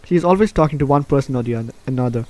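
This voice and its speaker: A man's voice: mid-range voice, rapid-fire, darkish tone. A man hosting a casual let's play, chatting and reading a story game's text aloud. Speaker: gaming video host. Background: game music.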